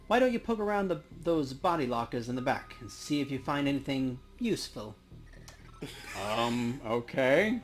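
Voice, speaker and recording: A man's voice: mid-range voice, sing-song, normal speed, soft background music. A man co-hosting a let's play, chatting casually and jokingly as he reads game dialogue aloud. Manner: jokey, playful.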